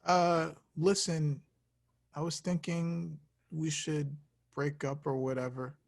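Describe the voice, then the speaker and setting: A man's voice: on the high side, level tone, slow, vocal fry. A man playing an awkward, sheepish young slacker in a comedy table read, talking in a casual, hesitant way.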